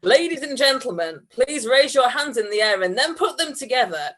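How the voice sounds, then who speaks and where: A woman's voice: high-pitched, lively intonation, moderate pace, a bit bright. A woman voicing an exasperated male amateur performer turned bank robber in a comic story, theatrical and sarcastic. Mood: showman.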